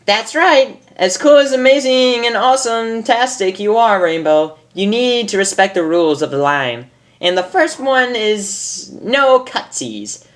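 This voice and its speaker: A woman's voice: a little high, lively intonation, unhurried, voice pushed up. A woman voicing a bubbly, chatty, rule-minded female pony baker from a cartoon, with a singsong delivery. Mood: cheerful scolding.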